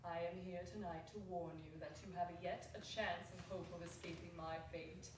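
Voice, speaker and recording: A woman playing the mournful chained ghost of a dead business partner in a dramatic stage reading, slow and lamenting. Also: lowish voice, fairly even intonation, background noise, natural voice. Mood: warning. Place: small theatre stage. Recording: echoey hall from far off.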